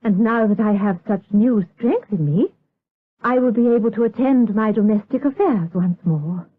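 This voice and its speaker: A woman's voice: mid-range voice, moderate pace, breathy edge, narrow, tinny audio, close to an everyday voice. An actress playing a prudent, genteel señora and mother in a gothic radio drama, speaking with formal, guarded politeness. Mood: coolly polite.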